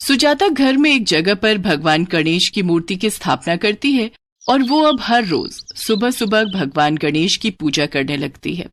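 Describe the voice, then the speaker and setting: A woman narrating a moral story with a clear, even, steady storyteller's delivery. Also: medium pitch, unhurried, slight background noise.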